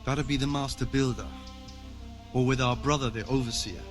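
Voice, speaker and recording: A man playing a plain-spoken, gruff but helpful medieval gatekeeper at a monastery in a video game. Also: mid-range voice, fairly even intonation, normal speed, over music, close to an everyday voice. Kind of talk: cinematic game dialogue.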